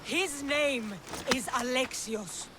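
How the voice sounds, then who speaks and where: A woman's voice: high voice, slow, background noise. A woman playing a tough, determined Greek mercenary in dramatic video game dialogue, firm and resolute. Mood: angry, bitter.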